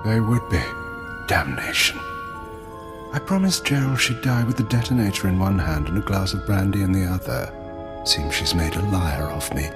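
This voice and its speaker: An actor playing a defiant, fatalistic rebel leader in a game cutscene, grave and sardonic. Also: male voice, low voice, moderate pace, in a large hall. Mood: grim. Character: defiant rebel leader, middle-aged man, proud and fatalistic. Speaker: villain. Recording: game cutscene with loud music.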